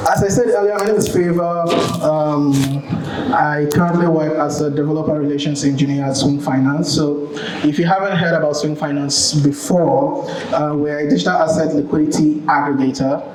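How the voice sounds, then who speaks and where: A young Ghanaian man talks to a conference audience in a slightly high voice, chatty and relaxed, with plenty of ums and restarts. The sound is roomy, as in a large hall. An audience is clearly heard behind him. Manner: relaxed, chatty, many ums and self-corrections, laughs at own jokes. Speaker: conference speaker. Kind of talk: tech conference talk.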